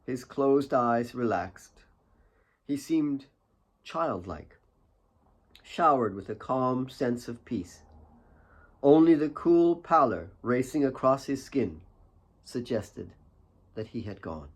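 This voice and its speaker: A man reading his own fiction as an audiobook, with solemn, deliberate dramatic narration. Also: mid-range voice, expressive.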